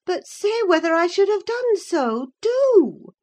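Woman reader as headstrong young gentlewoman, high-pitched, animated, petulant, anxious, insistent, classic audiobook character. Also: moderate pace. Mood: irritated, insistent. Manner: impulsive, insistent, exclamatory. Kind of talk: dramatised audiobook reading of a classic novel.

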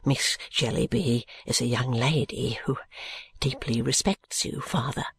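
A reader voicing a meek, dutiful young man in an audiobook, pleading earnestly and submissively in a hushed way. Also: mid-range voice, a little slow, husky, dry recording, voice pushed down. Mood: submissive, anxious. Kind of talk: audiobook character voice.

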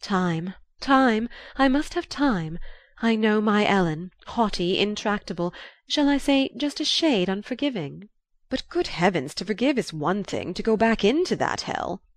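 A woman voicing an effusive, theatrical older marchioness in an audiobook, with a sighing, drawn-out, confiding delivery. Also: medium pitch, expressive, dry recording, pitched above the performer's own voice. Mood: rapturous, dramatic.

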